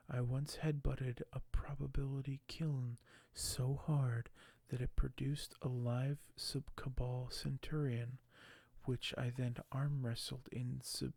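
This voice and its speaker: A man doing ASMR in a soft, hushed, often whispered voice, halting and unhurried as he shows items and reads aloud close to the microphone. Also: mid-range voice, vocal fry, dry recording. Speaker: ASMR video creator. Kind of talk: ASMR unboxing and reading aloud.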